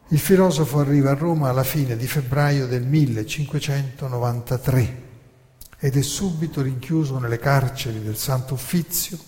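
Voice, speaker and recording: A man narrating history as scripted dramatic storytelling, in a theatrical, measured way with rhetorical pauses and emphasis. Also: mid-range voice, warm tone. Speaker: narrator and author of a radio theatre monologue. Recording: long reverberant hall sound.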